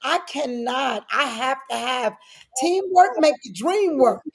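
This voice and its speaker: A middle-aged woman giving animated, personal answers as an interview guest, speaking emphatically and repeating phrases to drive them home. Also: sits high, measured pace, gravelly, breathy edge. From born in Frankfurt, Germany; lived in New York City. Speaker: guest, daycare business owner.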